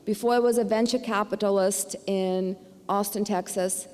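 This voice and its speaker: A middle-aged woman with a Ukrainian accent delivers a commencement address on behalf of a university board. She speaks earnestly at a middling pitch, now and then stumbling into fillers and restarts. Her voice carries a long reverb from a large hall. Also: steady tone, normal speed, darkish tone, clean tone. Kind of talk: formal commencement speech.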